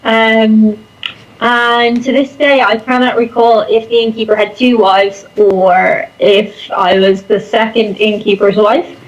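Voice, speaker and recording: A woman's voice: on the high side, steady tone, slow, rough recording. A woman chatting casually and unscripted as an interview guest, rambling along with self-deprecating humour. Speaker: interview guest, performer and online content creator.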